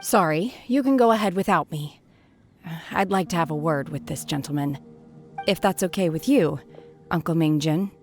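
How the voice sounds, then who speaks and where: Low, solemn young woman. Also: moderate pace, faint music behind. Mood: calm, polite. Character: reserved, melancholy young woman, aloof and solemn. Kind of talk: video game voice acting.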